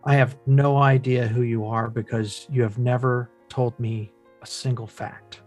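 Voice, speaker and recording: A man's voice: mid-range voice, flat delivery, measured pace, a little mellow, no room sound, faint music behind, natural voice. An actor playing a frustrated grown son who confronts his father, earnest and pressing, at a film script read. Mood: bitter, hurt.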